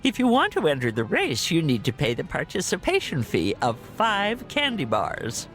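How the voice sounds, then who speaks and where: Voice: mid-range voice, wildly swooping pitch, moderate pace, a bit bright. An actor playing a self-important race official in a cartoon video game, formal and dismissive. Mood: officious, dismissive. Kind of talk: animated video game voice acting. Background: game music.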